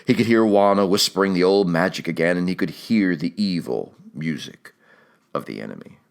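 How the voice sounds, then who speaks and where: A man narrating a literary audiobook in a measured, dramatic storytelling manner. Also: deep voice, animated, vocal fry.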